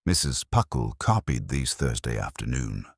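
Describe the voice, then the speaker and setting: A man's voice: a bass voice, sing-song, moderate pace, breathy edge, dry recording, clean studio sound, pitched below the performer's own voice, heavily acted. A man voicing a prim, observant woman office cleaner in a radio mystery, speaking precisely and formally. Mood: precise, confiding.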